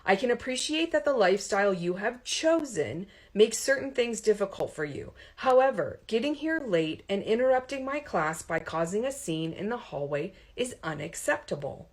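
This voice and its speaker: A woman voicing a firm, quick-tempered but kind college professor in an audiobook, speaking with articulate authority. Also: mid-range voice, sing-song, voice pushed up. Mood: stern, exasperated.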